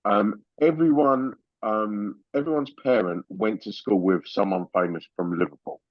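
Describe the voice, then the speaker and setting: A middle-aged southern English man hosts a casual panel chat. His voice is on the low side, recorded very dry and a little dull. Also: moderate pace, no echo. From Basildon, England.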